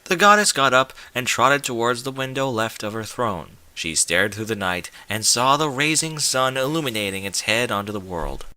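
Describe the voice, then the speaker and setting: Bright, theatrical male story narrator. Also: medium pitch, lively intonation, moderate pace, few pauses, no echo. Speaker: fan fiction narrator. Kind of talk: dramatic scripted story narration.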